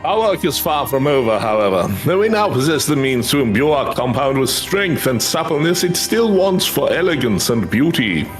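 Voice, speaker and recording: A man's voice: mid-range voice, big pitch swings, moderate pace, few pauses, close to an everyday voice. A man voicing a courtly, earnest fantasy craftsman, devout and grateful, speaking quest dialogue in formal, flowery phrasing. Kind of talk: voiced reading of fantasy game quest dialogue. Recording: music behind the voice.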